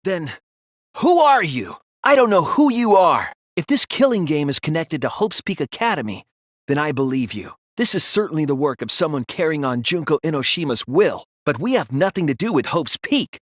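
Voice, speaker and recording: A man voicing an earnest, sensitive young student detective in an anime video game, with intense, emotional, sometimes halting delivery. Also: a little high, sing-song, dry recording, clean studio sound. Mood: suspicious, confrontational. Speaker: voice actor.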